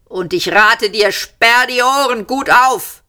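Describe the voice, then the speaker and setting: A woman reading an audiobook, voicing two self-righteous male alien policemen who shout polite threats. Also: a little high, takes it slowly, voice pushed up. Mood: shouting smugly.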